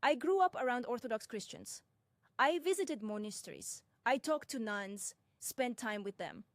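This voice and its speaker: A young woman from Russia, narrating her own experience in a measured, deliberate way with frank asides. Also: fairly high pitch, expressive, no room sound. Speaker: personal commentator. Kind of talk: first-person reflective narration.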